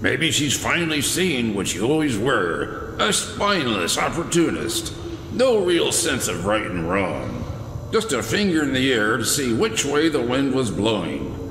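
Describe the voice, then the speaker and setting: Echoing hall, man acting scornful older lawman, gravelly, swooping delivery, audio drama. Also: mid-range voice, sing-song, a little slow, fairly bright tone, slight background noise. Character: scornful older police commissioner held hostage. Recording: echoey hall-like reverb, music.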